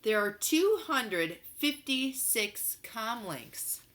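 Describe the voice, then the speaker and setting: Woman reading as insistent young boy, bright voice. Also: on the high side, sing-song, extremely slow, voice pushed up, exaggerated voice. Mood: insistent, questioning. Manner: insistent, argumentative. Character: young boy Dashiell, precocious, stubborn moon-base kid.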